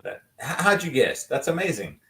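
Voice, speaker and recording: A man's voice: medium pitch, big pitch swings, normal speed, gravelly, sharp tone, recorded from a distance. A man in his fifties chatting animatedly and jokingly, often repeating himself mid-sentence. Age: 50s. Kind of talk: casual chat.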